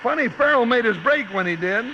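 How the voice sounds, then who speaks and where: An actor playing a loyal, folksy, excitable cowboy sidekick with an American accent in a 1950s Western radio drama. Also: male voice, very high voice, fairly quick, lo-fi sound, noisy background. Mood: concerned. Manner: folksy, colloquial. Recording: old radio broadcast.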